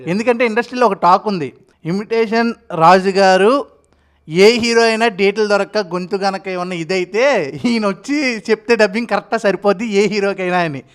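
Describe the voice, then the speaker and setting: A woman's voice: mid-range voice, normal speed. A woman interviewing in a chatty, effusive and encouraging way.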